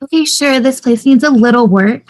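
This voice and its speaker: Casting: playful, upbeat young sitcom wife woman reading, very high voice, breezy optimism. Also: moderate pace, darkish tone, lightly acted. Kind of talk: sitcom table read.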